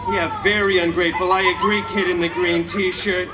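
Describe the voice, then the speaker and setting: A man's voice: high voice, flat delivery, moderate pace, lightly acted. A man playing a chaotic, cheeky, vain masked antihero who tells a story theatrically to a live audience with sassy, mock-sweet asides. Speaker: theme park stage show performer. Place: theme park stage, recorded from the audience. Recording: amplified live show, distant, crowd noise.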